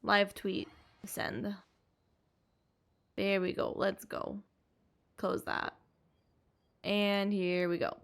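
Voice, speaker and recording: A woman's voice: medium pitch, flat delivery, very slow, gravelly, long pauses. A woman casually chatting to viewers while she plays a video game, exclaiming and making playful little sound effects. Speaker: streamer playing a video game. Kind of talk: casual live gameplay commentary to viewers.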